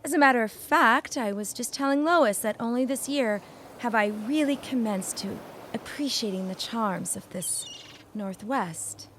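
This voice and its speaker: An actress playing a refined young widow of 1916 in a period audio drama, speaking in genteel, formal, old-fashioned phrases. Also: female voice, fairly high pitch, measured pace, a bit bright. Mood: candid. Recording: clear background ambience noise.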